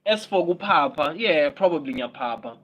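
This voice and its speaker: A man's voice: fairly high pitch, expressive, normal speed, lo-fi sound. South African man musing on a livestream. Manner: musing, repeats phrases, mixes in Zulu words. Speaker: livestream host. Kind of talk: casual livestream monologue.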